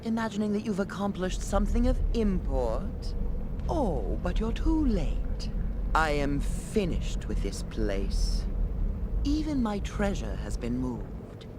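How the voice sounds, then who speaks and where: A woman's voice: on the low side, very animated, slow, background noise. An actress playing a haughty, menacing dragon in a noble lady's guise, imperious and contemptuous, in a fantasy game. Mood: cold contempt. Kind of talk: theatrical fantasy game voice acting.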